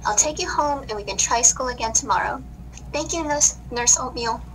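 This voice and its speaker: A woman's voice: on the high side, moderate pace, fairly bright tone, a little hiss or hum, lightly acted, poor audio. A woman playing a caring grown-up cookie lady in a children's radio play. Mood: concerned.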